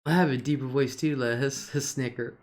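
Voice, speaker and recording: An older woman with a deep alto voice, casually chatting on her live stream and reading out viewer comments, laughing often between remarks. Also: steady tone, normal speed, a little mellow.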